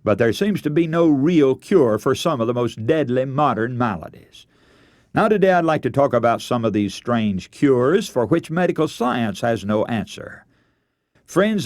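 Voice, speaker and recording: Animated male radio preacher. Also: mid-range voice, no echo, studio quality. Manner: earnest, emphatic storytelling with rhetorical questions. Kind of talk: radio sermon.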